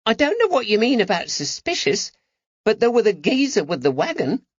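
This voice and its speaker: A man voicing a cheeky, eager young street urchin with a high-pitched voice and slangy talk, in an audiobook. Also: big pitch swings, a little fast, dry recording, dull audio. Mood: wary, cheeky. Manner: colloquial street slang, bargaining.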